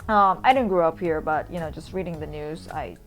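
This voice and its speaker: A woman's voice: low voice, a little fast. A woman from Indonesia giving a casual, rambling voice-over tour in an informal, chatty way, as a vlogger does. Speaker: vlogger, urban sketcher. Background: background music.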